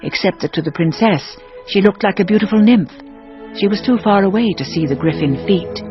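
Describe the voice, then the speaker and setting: A woman's voice: mid-range voice, lively intonation, brisk, lo-fi sound, music in the background. A woman reading scripted fairy-tale narration with a wry manner.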